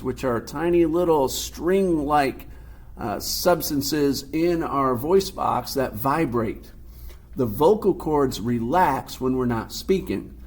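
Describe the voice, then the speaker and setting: A male science teacher with a deep, husky voice lectures through a lesson. He explains each term patiently, one step at a time. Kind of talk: recorded classroom lecture.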